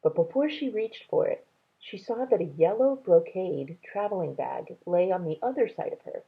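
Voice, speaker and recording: A woman storyteller reads a children's novel in a low voice with a gravelly creak. Her delivery is slow and measured, and the recording sounds thin and tinny, like a narrow band. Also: animated. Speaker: read-aloud storyteller. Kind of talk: reading a children's novel aloud.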